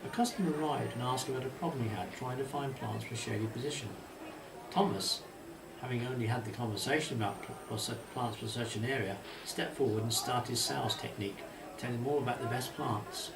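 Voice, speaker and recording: A man's voice: mid-range voice, brisk, creaky. A man reading a children's story aloud in a narrator's storytelling style. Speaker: author reading own story. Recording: distant mic, roomy echo, faint hiss.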